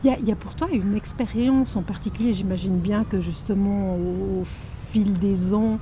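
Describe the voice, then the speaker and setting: A woman's voice: mid-range voice, fairly even intonation, moderate pace, a little hiss or hum. A woman hosting an interview podcast, asking open questions and murmuring along as her guest talks. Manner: frequent soft back-channel murmurs. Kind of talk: interview, encouraging listening.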